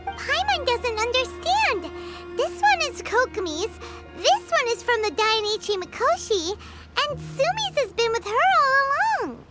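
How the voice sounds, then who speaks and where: A woman voicing a tiny, childlike girl companion in a video game, earnest and excitable with a squeaky voice. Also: a little slow, over loud music, exaggerated voice. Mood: puzzled, explaining. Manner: refers to herself in third person. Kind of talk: video game character acting.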